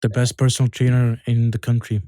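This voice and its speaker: Canadian male podcast host, relaxed dark tone, dry booth sound, swears casually. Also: mid-range voice, brisk, no room sound. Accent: Canadian English. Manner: loose, profane, repeats himself. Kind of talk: casual chat.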